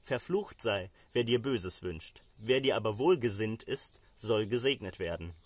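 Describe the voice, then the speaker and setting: A man's voice: lowish voice, unhurried, dry recording. A solemn old patriarch , read by a male storyteller, wary and formal as he speaks to his sons. His words are weighty pronouncements from a storybook world. The sound is dry and close.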